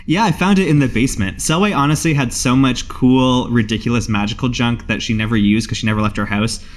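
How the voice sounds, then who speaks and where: A man's voice: mid-range voice, a little fast, close to an everyday voice. Felix, a dryly teasing brother played by a man. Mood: casual, teasing. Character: Felix, generous, apologetic, wryly teasing brother.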